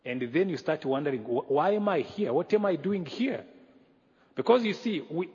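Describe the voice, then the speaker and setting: Guy from rwanda preaching a sermon, kinda husky voice, long church echo, dull old recording. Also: fairly high pitch, lively intonation, a little mellow. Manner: measured, earnest delivery with pauses. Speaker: guest pastor. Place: church sanctuary. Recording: echoey hall, dull lo-fi sound.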